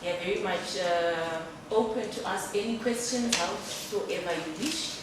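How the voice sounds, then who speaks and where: Low-voiced woman, distant and echoey. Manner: courteous, measured, formal. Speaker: acting technical director. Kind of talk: formal welcome speech. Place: indoor hall at a computing center. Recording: echoey room, distant mic, background noise.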